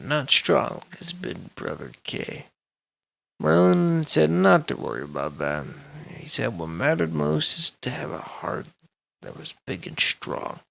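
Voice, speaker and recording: Slow, gravelly man telling a bedtime story. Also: mid-range voice, fairly bright tone. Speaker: storyteller reading aloud.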